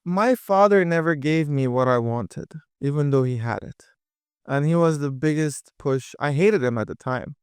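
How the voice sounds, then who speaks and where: A lively young man from with a crisp voice. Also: on the high side, dry recording, clean studio sound. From Dubai. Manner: energetic, quick, with many fillers and restarts. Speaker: podcast guest, entrepreneur. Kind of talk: casual interview chat.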